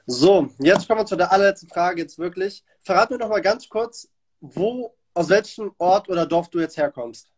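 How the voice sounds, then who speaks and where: High-voiced man hosting a casual chat. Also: expressive, moderate pace, slight background noise. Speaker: host of a livestream interview show. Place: indoors, small room at home.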